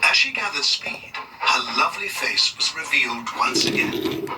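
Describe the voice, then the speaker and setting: A man's voice: a little high, very animated, moderate pace, recorded from a distance, very noisy. A man narrating a scripted children's read-along story.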